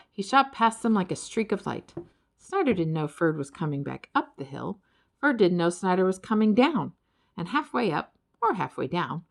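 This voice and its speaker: Female children's book narrator, expressive, slightly low register, big melodic range. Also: creaky. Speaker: audiobook reader.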